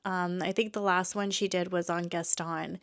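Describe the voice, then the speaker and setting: A woman's voice: on the low side, steady tone, moderate pace, a bit bright, clear, resonant tone. A woman in her thirties from Texas, congested from allergies, hosting a podcast in a chatty way with frequent fillers and restarts. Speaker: podcast host. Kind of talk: casual chat.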